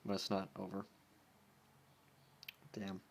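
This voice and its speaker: A man's voice: low voice, completely flat delivery, slow pace, vocal fry. A young man reading fan fiction casually, with offhand, self-deprecating comments and stumbles over his words. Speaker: amateur fan fiction reader.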